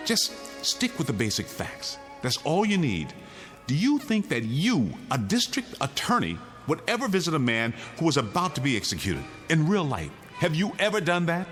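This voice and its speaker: A man's voice: on the high side, huge pitch swings, moderate pace, a bit bright, faint music behind. An actor playing a weary, insistent condemned man pleading his case in a radio drama. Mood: urgent, insistent. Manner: insistent, pleading, arguing in rhetorical questions. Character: condemned male prisoner insisting the world is his nightmare, weary, urgent.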